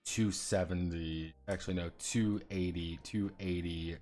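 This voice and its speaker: Low-voiced man muttering through game math. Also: steady tone, a little slow, a little mellow, soft background music. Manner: muttering and humming while working out numbers. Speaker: streamer designing a tabletop game. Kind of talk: casual thinking-aloud explanation.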